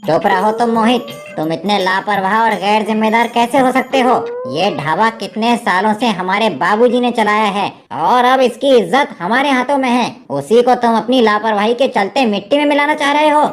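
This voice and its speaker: A woman's voice: fairly high pitch, moderate pace, hardly any pauses, faint music behind, natural voice. Woman acting a jealous, scheming elder brother. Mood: scolding. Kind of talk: animated moral story voice acting.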